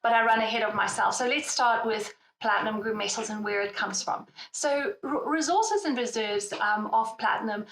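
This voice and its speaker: A woman's voice: on the high side, normal speed, few pauses, fairly bright tone. South African woman, roomy sound. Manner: explanatory, frequent ums and self-corrections. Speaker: precious metals analyst guest. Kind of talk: expert interview. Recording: roomy echo.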